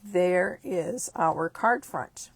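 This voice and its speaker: A hoarse woman with a croaky voice, chatting upbeat through a card-making tutorial step by step. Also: fairly low pitch, drawn-out words, dry recording. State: hoarse, losing her voice. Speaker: craft demonstrator.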